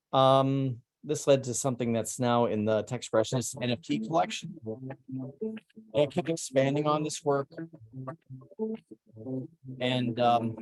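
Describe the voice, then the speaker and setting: A middle-aged man presenting his artwork at an online salon, speaking haltingly with many ums and restarts. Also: mid-range voice, darkish tone, low-quality recording. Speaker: presenting artist. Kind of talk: online salon artist talk.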